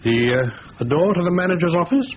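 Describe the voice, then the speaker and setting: An actor playing a genteel, wry gentleman crook in 1970s radio drama, with florid, suave phrasing. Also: sits high, expressive, quick pace. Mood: amused, probing. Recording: noisy, muffled old radio broadcast recording.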